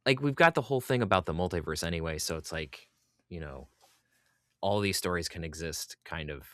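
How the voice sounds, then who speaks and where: A man's voice: low-pitched, expressive, brisk, a bit bright, no room sound, clean studio sound. A man hosting a podcast interview in a casual fan-chat style.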